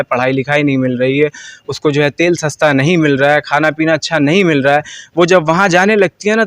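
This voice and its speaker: Earnest Indian man, slightly high voice. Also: dry recording. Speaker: street interviewee. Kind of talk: informal political opinion interview.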